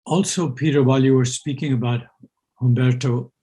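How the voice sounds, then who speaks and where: A man whose first language is Urdu, hosting a reflective conversation in a slow, searching way with many pauses. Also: mid-range voice, fairly even intonation, warm tone, husky. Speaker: host.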